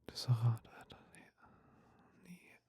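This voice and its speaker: A man with a Danish accent playing a tender boyfriend in an intimate sleep-aid audio roleplay, murmuring and whispering slowly and soothingly, close to the mic. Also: no echo, lightly acted. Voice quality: breathy whisper, husky murmur. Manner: slow, soothing, hushed, with soft hums. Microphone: very close microphone, dry.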